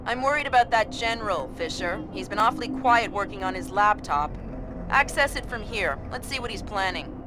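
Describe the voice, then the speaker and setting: A woman's voice: medium pitch, animated, moderate pace, close to an everyday voice. A woman voices a focused intelligence technician in a spy game. Her mid-range voice is businesslike and matter-of-fact, heard over a noisy background. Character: focused female intelligence technician briefing a field agent. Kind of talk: video game radio dialogue.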